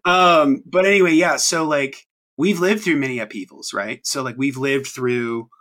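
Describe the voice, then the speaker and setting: A man's voice: mid-range voice, moderate pace, fairly bright tone. A man in his thirties hosting a podcast, talking casually and rambling with many ums and restarts. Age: 30s. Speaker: podcast host. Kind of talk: casual chat.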